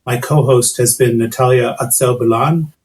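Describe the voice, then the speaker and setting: A man hosting a podcast interview, his voice at an everyday middle pitch. He poses long, considered questions and builds them up slowly before reaching the point. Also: fairly even intonation. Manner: thoughtful, long winding questions. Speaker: podcast host.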